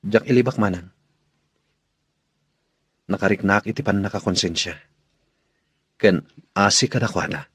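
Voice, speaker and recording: An actor playing a troubled, conscience-stricken younger brother in a radio drama, with an Ilocano accent. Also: male voice, on the low side, unhurried, long pauses, fairly bright tone, husky, no echo, full character voice. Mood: uneasy, confiding.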